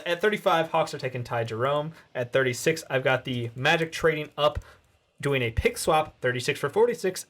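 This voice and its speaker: A man's voice: a little high, fairly bright tone. Casual joking male co-host. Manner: casual, joking, laughs mid-list. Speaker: podcast co-host. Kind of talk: rapid-fire mock draft reading.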